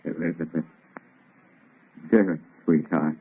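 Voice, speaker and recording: An actor playing a haunted, fervent young scientist in an old radio drama, emotional and melodramatic. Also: male voice, very deep voice, steady tone, unhurried, slightly rough, exaggerated voice. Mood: overjoyed, tender. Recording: tinny, fuzzy vintage radio recording.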